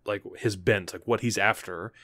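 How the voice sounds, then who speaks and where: A man's voice: lowish voice, steady tone, normal speed, a bit bright, dry recording, clean studio sound. A man co-hosting a podcast in casual chat, joking and laughing often. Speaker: podcast co-host.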